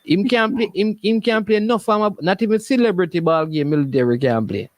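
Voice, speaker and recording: Jamaican man, high voice, dry close sound, jocular sports show host, casual Patois, repetitive phrasing. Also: a little fast, no echo. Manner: animated, jocular, repeats phrases. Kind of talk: casual live call-in chat in Patois.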